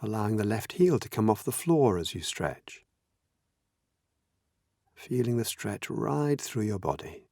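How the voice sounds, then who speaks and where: A man leading a guided meditation as a teacher, speaking gently in measured, unhurried phrases with long pauses. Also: on the low side, expressive, gravelly, no room sound, studio quality. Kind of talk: guided meditation, slow spoken instructions.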